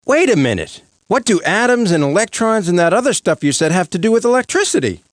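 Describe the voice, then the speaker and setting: Theatrical, swooping cartoon lawyer. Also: male voice, high-pitched, big pitch swings, fairly quick, no room sound, clean studio sound. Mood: petulant. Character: pompous, theatrical male prosecuting lawyer. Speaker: lawyer character. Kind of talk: animated courtroom cartoon voice acting.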